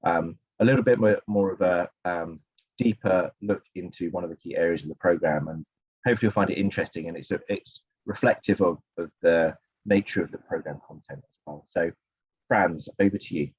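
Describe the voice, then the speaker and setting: A man's voice: sits low, a little fast, breathy edge, no room sound. A man hosting a webinar, talking in a hesitant way with many fillers as he hands over to a speaker on a video call. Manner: hesitant, filler-heavy handover. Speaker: webinar host. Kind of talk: webinar introduction. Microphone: video call mic. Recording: dull lo-fi audio, dry.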